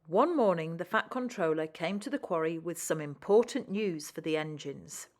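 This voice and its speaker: A woman's voice: lowish voice, expressive, moderate pace. A woman storyteller reading a children's story expressively and talking to young listeners.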